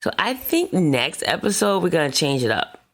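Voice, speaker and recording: A woman co-hosting a podcast, with a deep voice and a playful, upbeat way of talking. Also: big pitch swings, normal speed, fairly bright tone. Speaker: podcast co-host. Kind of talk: casual podcast talk.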